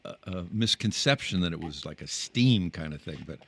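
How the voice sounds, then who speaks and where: A middle-aged man hosting a studio interview, curious and quick with short affirmations. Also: deep voice, lively intonation, fairly bright tone, slightly rough, dry recording. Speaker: radio host. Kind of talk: interview conversation. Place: radio studio.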